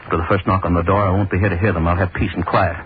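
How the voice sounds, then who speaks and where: A man's voice: low voice, level tone, racing, lightly acted. An actor playing an obsessive, cruel hangman who gloats and broods over his work, in a 1930s radio drama monologue. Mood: anxious. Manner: gloating, brooding, lingers on words. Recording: scratchy tinny 1930s radio broadcast.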